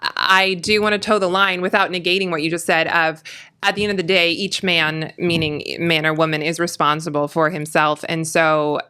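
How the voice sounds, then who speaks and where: Woman guest on a talk interview, kinda low smooth voice, earnest, keeps restarting sentences. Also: steady tone, pushed and bright. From California. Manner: earnest, measured, frequent restarts. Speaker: interview guest, political commentator. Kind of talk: conversational interview.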